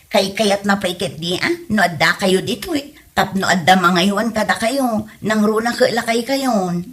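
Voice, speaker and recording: A woman's voice: mid-range voice, a little slow, fairly bright tone, lightly acted. A woman playing a caring, reassuring Filipino daughter and wife in a comedy audio drama, with an Ilocano accent. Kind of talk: hobby comedy radio drama acting.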